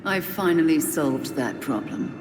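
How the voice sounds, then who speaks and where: A menacing villainess from a video game cutscene, seductive and imperious. Her voice is fairly low and deliberate, ringing in a big reverberant space with music behind it. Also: lively intonation. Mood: triumphant, commanding. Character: commanding female villain, cold, seductive leader of an assassin league. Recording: echoey hall reverb with loud music.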